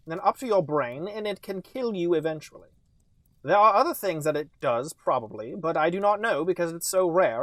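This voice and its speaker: Male doctor character, acted by a man, comic story, high-pitched, calm, grave, formal deliberate phrasing, dry sound. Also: no room sound. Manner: stiff, deliberate, formal phrasing without contractions. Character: calm but grave male doctor breaking bad news.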